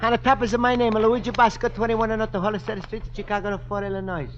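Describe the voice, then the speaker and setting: A high, earnest actor's take on an Italian immigrant man, naive and anxious, pleading in broken English with an Italian accent. It is 1950s radio sitcom acting, heard through a dull, noisy old recording. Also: flat delivery, fast, close to an everyday voice. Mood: polite, hesitant.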